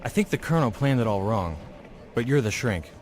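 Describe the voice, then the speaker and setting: A man's voice: mid-range voice, lively intonation, a little fast, slight background noise, natural voice. An actor playing a blunt, casual, cynical but friendly male buddy in a video game cutscene.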